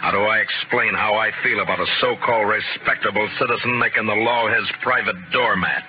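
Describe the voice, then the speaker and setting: Man playing a deep-voiced, stoic western marshal. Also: fairly even intonation, a little fast, noisy background, natural voice. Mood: defiant. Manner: terse, dry, authoritative. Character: stoic, dry-witted, incorruptible frontier marshal. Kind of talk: 1950s radio western acting and narration. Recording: muffled old radio broadcast.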